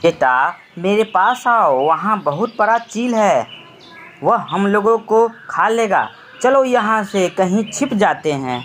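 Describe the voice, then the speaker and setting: A man's voice: high-pitched, expressive, slow pace, fairly bright tone, slight background noise, voice pushed up, heavily acted. A man voicing an anxious, protective mother bird in a cartoon story, pleading earnestly. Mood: frightened, urgent. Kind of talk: acted cartoon moral story.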